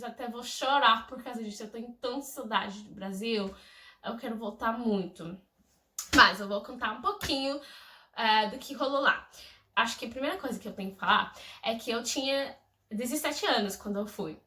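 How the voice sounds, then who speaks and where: Bright-voiced gushing young Englishwoman vlogging. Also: fairly high pitch, moderate pace, echoey room, recorded from a distance. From England. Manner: effusive, gushing, lively storytelling. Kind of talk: casual chat to camera.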